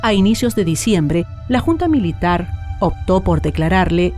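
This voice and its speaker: A woman reading scripted documentary narration in a measured, solemn manner. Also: on the low side, animated, a little mellow, soft background music.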